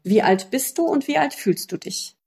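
Woman interviewer, warm mellow tone, plain questions. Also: high voice, expressive, normal speed, gravelly.

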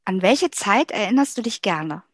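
A woman interviewer asking short personal questions in a plain, even way. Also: medium pitch, moderate pace.

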